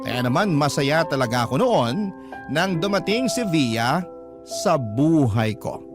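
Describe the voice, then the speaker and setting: Higher-pitched Filipino male radio storyteller. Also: sing-song, measured pace. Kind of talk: first-person dramatic story narration, reading a listener's letter. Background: background music.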